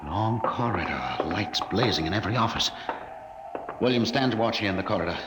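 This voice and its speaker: A man's voice: lowish voice, animated, a little fast, close to an everyday voice. An actor playing a cautious, authoritative male spaceship captain with clipped orders, in a 1950s radio drama. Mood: wary. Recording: very noisy old radio broadcast recording.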